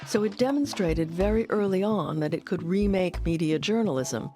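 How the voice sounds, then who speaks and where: A woman with an American accent giving scripted documentary narration in a measured, articulate way. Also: on the low side, expressive, music in the background, a crowd in the background.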